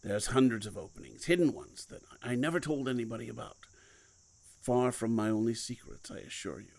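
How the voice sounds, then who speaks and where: A man playing a secretive, commanding, guilt-burdened mentor in an audio drama, speaking deliberately and cryptically. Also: medium pitch, vocal fry, darkish tone, natural voice. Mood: cryptic, assured. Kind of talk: audio fiction podcast acting.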